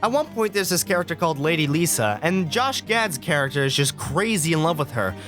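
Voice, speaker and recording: Animated, higher-pitched young man reviewing movies. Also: brisk, soft background music. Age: young adult. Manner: sarcastic, profane. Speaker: video essay host, film student. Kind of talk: casual scripted video review commentary.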